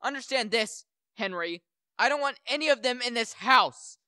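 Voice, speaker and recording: A man's voice: extremely high pitch, expressive, moderate pace, bright, forceful tone, smooth, dry recording, clean studio sound, full character voice. A teenage male student actor playing a furious, reclusive widowed master of the house in a radio play, curt and commanding. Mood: bitter, stern.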